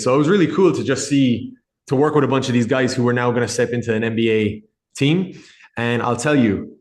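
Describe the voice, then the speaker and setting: A man in his thirties from Ireland, with an Irish accent mixed with American, talking casually as a podcast guest about his work in sports nutrition. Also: medium pitch. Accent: Irish with some American. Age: 30s. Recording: echoey room.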